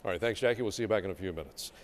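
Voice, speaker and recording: A man's voice: sits low, very fast. A man with an American accent anchoring a television newscast, reading scripted news.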